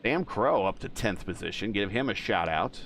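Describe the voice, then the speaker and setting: A man doing live play-by-play commentary for a virtual truck race, chatty and quick to react to the action. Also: lowish voice, a little hiss or hum. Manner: excitable play-by-play, chatty with stream chat. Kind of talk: live sim racing commentary.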